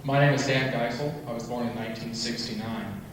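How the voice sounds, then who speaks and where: Flat-voiced man reading in an echoey hall. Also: medium pitch, fairly quick, noisy background. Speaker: student presenter. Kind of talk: reading a prepared speech. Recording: echoey hall, distant mic.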